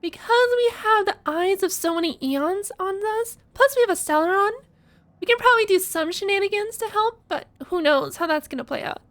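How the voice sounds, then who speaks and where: A woman game streamer giving casual reaction commentary, thinking out loud conversationally and sometimes reading text aloud. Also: extremely high pitch, lively intonation, brisk.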